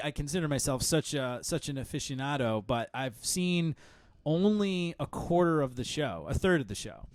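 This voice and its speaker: A man in his thirties hosts a casual podcast from a home studio. His voice sits a little high, and he rambles with plenty of fillers and self-deprecating asides. The sound is close and dry, with no room echo. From Connecticut. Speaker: podcast host. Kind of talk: casual chat.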